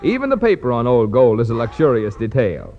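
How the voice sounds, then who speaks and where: A man announces a 1940s radio commercial with a polished, emphatic pitch. His voice is slightly high and swings very widely in intonation. The old recording is muffled, with a long hall reverb. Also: sing-song, fairly quick. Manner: polished, emphatic salesman delivery.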